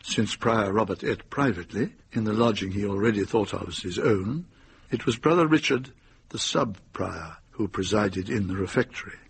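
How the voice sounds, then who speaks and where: A man narrating a radio drama as a measured, dryly wry storyteller. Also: on the low side, vocal fry, a little hiss or hum.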